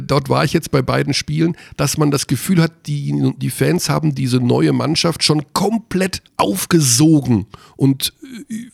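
A man's voice: fairly high pitch, vocal fry, no echo, clean studio sound. A man hosting a sports podcast interview, chatty and full of filler sounds. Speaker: podcast host.

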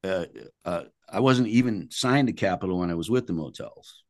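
A man's voice: deep voice, animated, normal speed, darkish tone, no echo, studio quality. An older man, a musician being interviewed, telling anecdotes in a casual, blunt, salty and colloquial way.

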